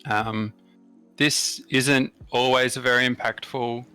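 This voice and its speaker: A man's voice: medium pitch, fairly even intonation, slow pace, pushed and bright, clear, resonant tone, faint music behind. Australian man explaining a strategy game. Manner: explanatory, frequent "uh" fillers. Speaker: gaming channel host. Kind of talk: casual gameplay commentary.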